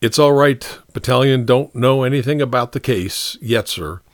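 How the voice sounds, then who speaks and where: A man's voice: medium pitch, normal speed, dry recording, clean studio sound, voice pushed up, lightly acted. A man voicing a shrewd, unflappable first sergeant in an audiobook, plain-spoken yet formally respectful. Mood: steady, respectful. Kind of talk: audiobook character dialogue.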